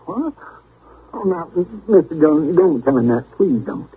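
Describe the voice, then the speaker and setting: An actor playing an anxious, folksy Western deputy with a twangy American drawl, pleading and deferential in old-time radio style. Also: male voice, a little high, big pitch swings, measured pace, slightly rough, exaggerated voice. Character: anxious, folksy, easily flustered frontier deputy, elder brother. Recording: noisy vintage radio recording, narrow band.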